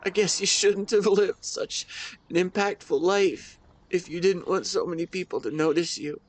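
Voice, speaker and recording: Man playing a grieving, tender husband, slightly high voice. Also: steady tone. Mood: gentle teasing. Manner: tender, emotional, halting delivery. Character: grieving, devoted husband talking to his late wife. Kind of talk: intimate audio roleplay monologue.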